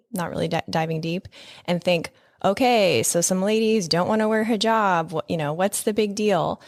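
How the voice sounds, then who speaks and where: A woman hosting a podcast, chatting casually, talking conversationally with quick asides and laughing along with her guest. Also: lowish voice, dry recording, studio quality. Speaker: podcast host. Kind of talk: casual interview chat.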